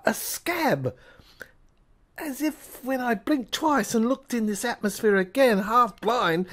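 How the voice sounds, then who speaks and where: Female narrator for audio drama: high voice, drawn-out pace, faltering and intense first-person storytelling. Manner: halting, repeating words, overwrought. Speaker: narrator reading an audio screenplay.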